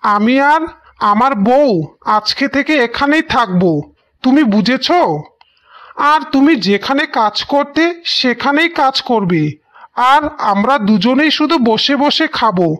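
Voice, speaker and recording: A woman's voice: high-pitched, animated, a little slow, a bit bright. A woman voicing a greedy, freeloading young husband as a smug, demanding cartoon villain. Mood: smug, threatening. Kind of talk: melodramatic cartoon villain acting. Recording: music behind the voice.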